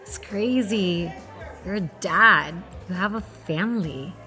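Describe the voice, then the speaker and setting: A woman's voice: fairly low pitch, takes it slowly, bright, forceful tone. A woman playing a guarded, level-headed operative in a naturalistic drama, dry and pointed. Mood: reflective. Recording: music under dialogue.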